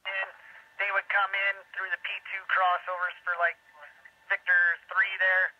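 A man's voice: sits high, completely flat delivery, a little slow. A man working a rail yard, giving clipped, practical instructions over the radio, heard through a scanner. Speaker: railway yard worker on radio. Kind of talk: terse rail radio traffic. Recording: muffled scanner radio audio.

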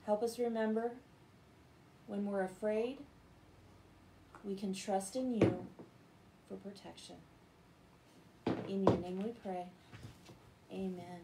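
A woman's voice: mid-range voice, steady tone, takes it slowly, long pauses, very noisy. A middle-aged American woman reading and talking to children in a bedtime-story style, recorded at home on a device a short way off. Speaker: children's Bible story reader. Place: living room at home, indoors.

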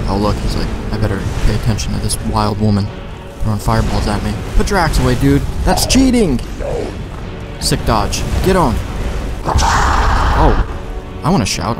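A man's voice: lowish voice, lively intonation, moderate pace. A man giving casual, rambling gameplay commentary with plenty of slang and swearing. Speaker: let's play gamer. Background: game music.

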